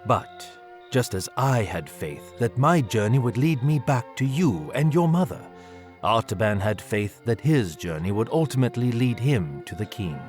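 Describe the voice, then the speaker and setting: An actor playing a British army colonel telling his daughter a story in an audio drama, measured and literary. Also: medium pitch, sing-song, a little mellow, soft background music, close to an everyday voice. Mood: tender, earnest. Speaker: storyteller, narrator.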